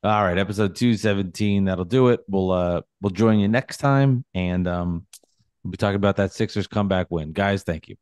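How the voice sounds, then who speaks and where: A man's voice: low-pitched, normal speed, darkish tone, dry recording. A man in his forties hosting a casual sports podcast, teasing his co-hosts and running a trivia quiz. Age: 40s. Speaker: podcast host.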